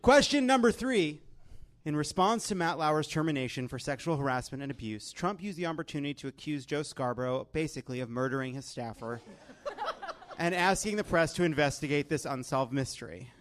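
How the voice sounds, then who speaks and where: A man's voice: a little high, animated, a little fast. A man hosting a comedy game show in front of a live audience, sarcastic and often laughing as he talks. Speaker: host.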